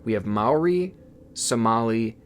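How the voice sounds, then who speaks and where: Excitable man vlogging, native Igbo speaker. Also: medium pitch, unhurried, a little hiss or hum. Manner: excitable, swears, laughs in disbelief. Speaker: YouTube host. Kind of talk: casual vlog talk.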